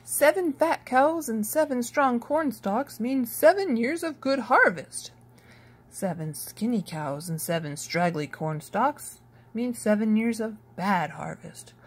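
A gentle, devout young man from a storybook, voiced by a woman. He pronounces the meaning of dreams slowly and solemnly, pitched a little high. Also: lively intonation, breathy edge, full character voice. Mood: solemn, instructive.

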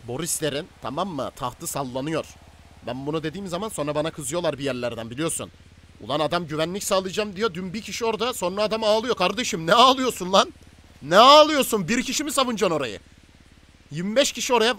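A man in casual game roleplay chat, bossy and excitable, talking in slang like the boss of a crew. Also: high-pitched, fast talker. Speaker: gaming video host and gang boss in roleplay.

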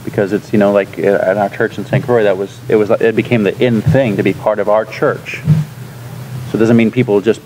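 A man in his thirties, a pastor, leading a relaxed classroom discussion with lots of fillers, recorded in a church classroom by a room camera. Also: on the low side, fairly quick, slight background noise, poor audio. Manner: relaxed, chatty, frequent fillers and laughs. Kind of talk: casual teaching discussion.

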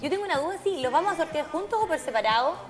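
A woman's voice: high-pitched, expressive, rapid-fire. A young Chilean woman co-hosting a morning TV show, bubbly and chatty. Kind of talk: lively studio chat. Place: TV studio kitchen set. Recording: studio with long reverb and music behind.

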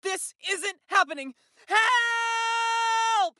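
A woman voicing a cheeky, panicky young boy, melodramatic and flippant, in an animated comedy. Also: high voice, measured pace, no echo, studio quality, exaggerated voice. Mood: panicked. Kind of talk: animated comedy character acting.

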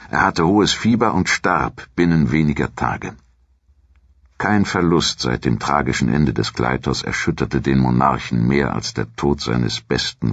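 A man with an extremely low, husky voice narrates history in a measured, formal way. His scripted delivery suits an audio documentary, and the sound is dry and slightly dull. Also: a bit bright, no room sound.

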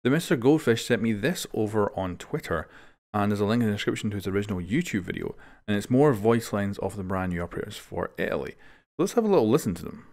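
Male gaming news host, low voice, casual conversational commentary. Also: expressive, fast talker, darkish tone.

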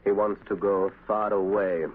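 An actor playing a coolly menacing doctor and sabotage mastermind in a 1930s radio drama, deliberate and mockingly polite. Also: male voice, fairly low pitch, level tone. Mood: cool menace. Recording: tinny, noisy 1930s radio recording.

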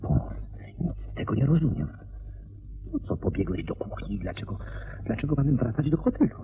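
A man acting a puzzled, excitable detective's sidekick in a radio crime drama, with a dramatic, questioning delivery. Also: medium pitch, fairly quick, narrow, tinny audio. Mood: baffled, exasperated. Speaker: actor.

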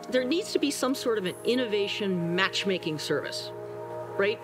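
A woman in her sixties, interviewed outdoors in a forest, explaining ideas in a thoughtful, measured way with shifting pace. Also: medium pitch. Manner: thoughtful, explanatory, varied pacing. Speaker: co-founder of a nature-inspired design institute. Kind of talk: documentary interview. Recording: interview under music bed. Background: soft music.

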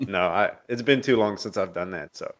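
A man's voice: sits low, moderate pace. A man from South Africa talking about languages in an easygoing, enthusiastic way on a video call. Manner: relaxed, enthusiastic storytelling. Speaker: podcast guest. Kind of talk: casual video call chat.

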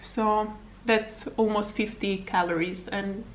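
A woman's voice: medium pitch, fairly even intonation, takes it slowly, slight background noise. Chatty Hungarian-accented woman vlogging at home. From Slovakia. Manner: rambling, chatty, frequent laughs and fillers. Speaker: fitness vlogger and coach. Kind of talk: casual chat to camera. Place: home living room, indoors. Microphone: camera on table at arm's length.

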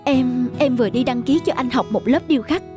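A woman's voice: high voice, brisk, music in the background, close to an everyday voice. A woman voicing a patient, caring wife and mother in a cartoon, soothing and encouraging. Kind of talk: dubbed cartoon dialogue.

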